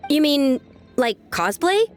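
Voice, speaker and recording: A self-reliant young woman in a fantasy video game. Her voice is high and lively in its rise and fall. She speaks casually and earnestly over faint background music. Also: takes it slowly, exaggerated voice. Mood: puzzled, thoughtful. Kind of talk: video game character acting.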